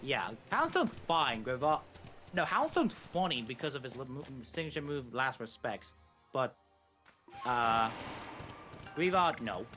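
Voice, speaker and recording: Male co-commentator, medium pitch, casual explanatory gaming talk, faint music behind. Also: very animated, a little slow, long pauses, pushed and bright.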